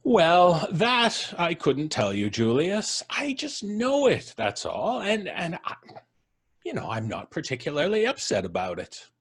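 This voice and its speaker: An eerily cheerful stranger, played by a man, at peace with his coming death. He speaks slowly and calmly in a slightly husky voice. His pitch swings very widely between words. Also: heavily acted. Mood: serene. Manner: unhurried, amused, serenely cryptic. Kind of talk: live radio drama reading.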